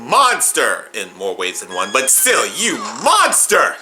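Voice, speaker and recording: A woman's voice: a bass voice, wildly swooping pitch, slow, rough recording. A woman hosting a reaction video, giving casual commentary with jokey, theatrical exclamations. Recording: commentary over drama audio and music.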